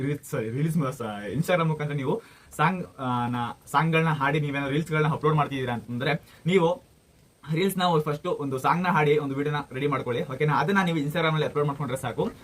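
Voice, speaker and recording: A man's voice: a little high, fast talker. A man hosting a casual how-to video, addressing viewers directly and often checking that they follow. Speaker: video tutorial host.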